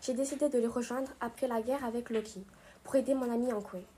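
A woman's voice: on the high side, fairly even intonation, quick pace, warm tone, slight background noise, natural voice. A woman playing a confident female superhero spy, answering plainly and steadily. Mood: steady.

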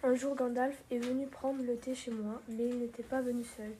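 Woman voicing a homebody male hobbit, plain calm answers, flat delivery. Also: fairly high pitch, moderate pace, slight background noise, close to an everyday voice, rough recording. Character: male hobbit, fifty, homebody tea lover. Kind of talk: amateur role-play interview.

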